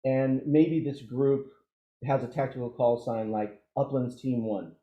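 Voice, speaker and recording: A man giving an informal training presentation as an instructor, explaining things haltingly and thinking aloud through examples. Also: medium pitch. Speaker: emergency radio trainer. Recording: dull, roomy, echoey audio.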